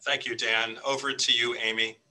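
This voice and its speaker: A man moderating a webinar panel, talking haltingly with many fillers. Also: mid-range voice, little pitch movement, sharp tone, low-quality recording.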